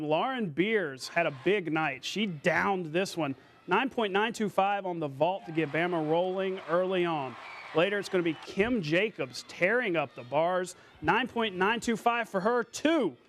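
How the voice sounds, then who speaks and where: A high-pitched American man anchors a local-style sportscast. He reads scripted scores and highlight calls with playful, colloquial punch. There is some noise behind his voice. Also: a bit bright.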